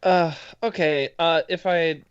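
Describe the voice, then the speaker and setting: A man co-hosting a casual review podcast, building his points haltingly and rephrasing as he goes. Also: a little high, fairly even intonation, clean tone, no echo. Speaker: podcast co-host reviewing music. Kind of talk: casual chat, critique. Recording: dry, slightly muffled lo-fi audio.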